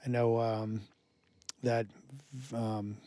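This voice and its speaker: A man guesting on a radio show speaks in a relaxed, halting way, pausing often with fillers. His tone is mellow and dark. The studio sound is dry and close. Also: on the low side, dry recording. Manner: halting, many fillers and restarts. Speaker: musician guest. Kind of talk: casual radio interview.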